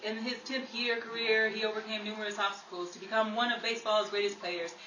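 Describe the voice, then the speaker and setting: A woman's voice: mid-range voice, flat delivery, fast talker. A woman narrating a scripted documentary-style radio program. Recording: roomy, distant mic, noisy background.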